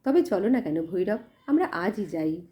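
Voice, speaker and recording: A woman voicing a gentle, determined, generous village woman in an audio story, kindly and resolute. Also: mid-range voice, very animated, normal speed, lightly acted. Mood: eager, resolute.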